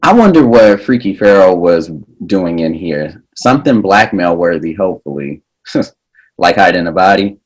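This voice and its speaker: A man's voice: sits low, expressive, normal speed, husky, poor audio. A man reading the part of a cocky, mischievous teenage boy at a script table read, teasing and sarcastic. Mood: curious.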